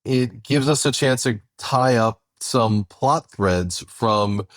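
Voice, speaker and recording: A man co-hosting a conversational podcast introduction, speaking in halting phrases with repeated words. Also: mid-range voice, no echo. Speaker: co-host and writer introducing an audio drama episode.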